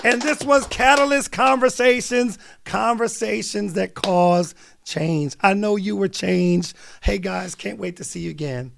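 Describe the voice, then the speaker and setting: A man in his fifties hosting a faith conversation as a chaplain, cheering his guest on with quick encouraging interjections. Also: sits high, vocal fry, background noise. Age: 50s. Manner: affirming, frequent encouraging interjections. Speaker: host and chaplain.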